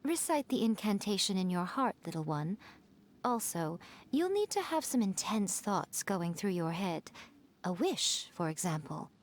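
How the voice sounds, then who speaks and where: An elegant, sly fox-spirit lady from a video game. She speaks unhurriedly in a lively, swooping mid-range voice, teasing and coaxing with playful condescension. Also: medium pitch, measured pace, a little hiss or hum. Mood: coaxing, instructive. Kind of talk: video game character dialogue.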